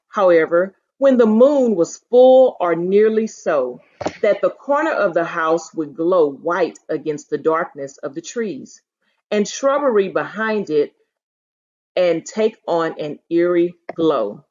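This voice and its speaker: A woman teacher reading a story aloud in an expressive, emphatic storytelling style, pausing to share her thoughts. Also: lowish voice. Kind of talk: expressive story read-aloud with think-aloud commentary. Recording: dull, lo-fi audio.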